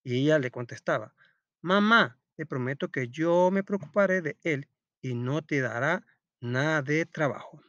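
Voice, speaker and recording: Man, somewhat high voice, swooping melodic intonation, instructional read-aloud, self-answered questions, lo-fi sound. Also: lively intonation, unhurried, fairly bright tone, no echo. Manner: didactic, asks questions and answers them himself. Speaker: teacher presenting a school textbook lesson. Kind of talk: read-aloud storytelling and step-by-step lesson narration.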